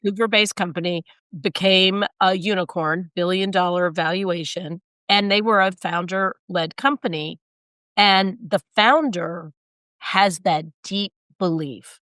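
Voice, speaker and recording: A hoarse woman with a low, raspy voice speaks briskly and with emphasis, acting out the voices she quotes. The sound is close and dry, with no room echo. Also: a bit bright. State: hoarse, recovering from illness. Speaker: guest, sales consultant and author. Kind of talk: conversational interview.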